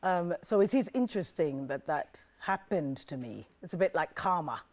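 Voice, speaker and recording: Lively, low-voiced middle-aged actress. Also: female voice, normal speed. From born in Ethiopia to South African parents. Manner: animated, candid storytelling. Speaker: actress, interview guest. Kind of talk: TV studio interview.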